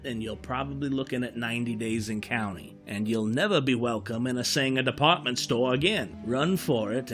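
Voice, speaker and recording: An actor playing a talkative, world-weary security guard in an audio drama, with a folksy, plain-spoken manner. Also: male voice, medium pitch, a bit bright, lightly acted. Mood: stern, commanding. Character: world-weary, talkative male night-shift department store security guard.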